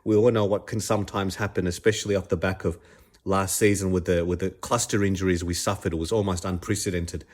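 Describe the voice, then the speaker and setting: A man's voice: deep voice, steady tone, fast talker. A man hosting his own podcast, a little stuffed up with a cold, talking enthusiastically with many restarts and repeated words. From Australia. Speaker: podcast host. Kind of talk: casual solo podcast commentary.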